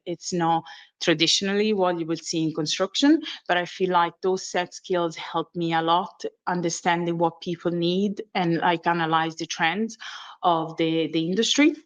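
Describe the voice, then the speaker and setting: Low-pitched Italian-accented woman presenting. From Italy. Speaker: training and development manager presenting. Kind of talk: informal professional presentation. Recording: dull, lo-fi audio.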